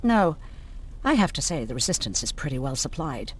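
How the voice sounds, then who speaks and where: A woman's voice: low-pitched, big pitch swings, normal speed, a little hiss or hum. An actress playing a self-assured, caring resistance woman in a video game, with a wry, folksy, familiar way of talking. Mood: wry, teasing.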